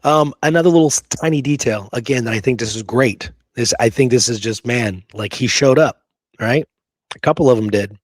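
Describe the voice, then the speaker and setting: A man's voice: mid-range voice, fairly quick, dry recording. A man hosting a casual history talk from notes, speaking in an emphatic, conversational way and often checking in with the listener. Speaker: podcast host.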